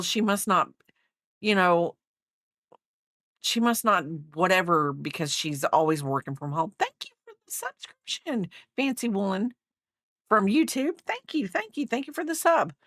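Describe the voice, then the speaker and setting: Brief: woman in her fifties, rambling livestream host, lively pitch, dry close sound. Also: no echo. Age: 50s. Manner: rambling, chatty, reads out viewer comments. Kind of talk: casual livestream chat.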